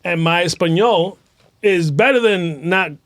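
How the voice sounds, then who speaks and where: A man's voice: high-pitched, measured pace, fairly bright tone, dry recording, clean studio sound. A man hosting an interview in an informal, slangy, supportive way. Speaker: interview host. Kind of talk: casual conversational interview, slangy.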